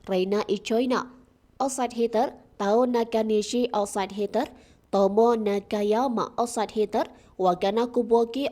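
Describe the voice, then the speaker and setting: Woman, news narration, scripted read, warm mellow tone. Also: fairly high pitch.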